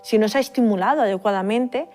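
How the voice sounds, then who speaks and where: A woman adopted from India explaining in a measured way during a documentary interview. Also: mid-range voice, darkish tone, soft background music.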